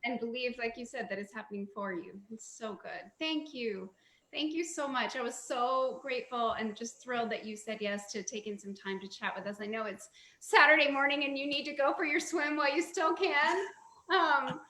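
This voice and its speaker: A woman's voice: fairly high pitch, normal speed, sharp tone, roomy sound. A woman hosting a casual video-call interview, affirming and upbeat toward her guest. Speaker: podcast host.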